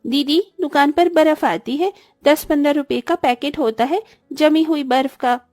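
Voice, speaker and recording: A woman's voice: sits high, normal speed, darkish tone, dry recording, clean studio sound, faint music behind, close to an everyday voice. A woman voicing a poor young orphan girl in a cartoon story, whiny and childlike. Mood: wistful. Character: poor young orphan girls, plaintive little sisters. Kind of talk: scripted cartoon dialogue.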